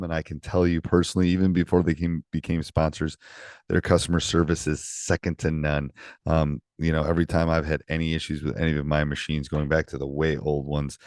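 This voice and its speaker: A man's voice: very deep voice, darkish tone, dry recording, clean studio sound. A man hosting a podcast, eager and welcoming, with fillers like "um" and "you know". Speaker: podcast host. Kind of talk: podcast hosting and sponsor reads.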